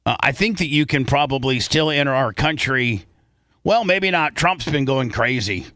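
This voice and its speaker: A man's voice: mid-range voice, expressive, moderate pace, bright, forceful tone, no echo, clean studio sound. A man hosting a talk show in casual radio style, opinionated and repeating his points for emphasis.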